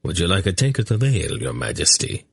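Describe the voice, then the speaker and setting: A man's voice: sits low, very animated, fast, gravelly, slightly rough, dry recording, clean studio sound, full character voice. A man voicing a prudent, polite riverboat captain who gives sensible advice.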